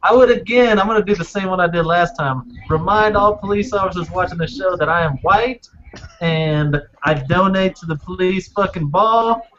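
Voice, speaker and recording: High-pitched blunt man, muffled lo-fi audio. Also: moderate pace, a bit bright. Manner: blunt, crude. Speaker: podcast panelist. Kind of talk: casual, profane chat. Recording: muffled lo-fi audio with faint noise.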